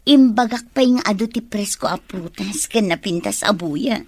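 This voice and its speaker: A woman's voice: mid-range voice, normal speed, darkish tone, no room sound, lightly acted. A woman with an Ilocano accent playing a coy, dutiful young office secretary in a radio drama. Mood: coy, excited.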